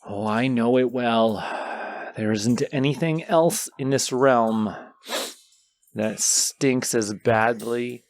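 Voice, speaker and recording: A man playing a wounded, haughty dragon in a fantasy audio roleplay, with theatrical, condescending, drawn-out phrasing. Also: mid-range voice, dry recording. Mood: suspicious.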